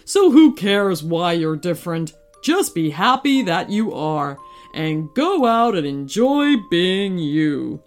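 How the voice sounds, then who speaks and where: A woman's voice: on the low side, sing-song, slow pace, soft background music. A woman voicing a thoughtful, friendly little male dog in a storybook, giving kind advice. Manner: warm, thoughtful, advising.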